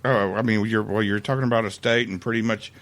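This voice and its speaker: A man, co-hosting a podcast, speaking haltingly with many fillers in casual chat. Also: lowish voice, steady tone, fairly bright tone. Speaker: podcast co-host.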